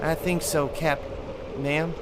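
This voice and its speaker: A timid, worn-out, freezing man, high-voiced and very slow. He stammers deferentially in an audio drama scene, with noise around him. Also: flat delivery. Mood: weak, hesitant. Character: timid, freezing, weary young male follower.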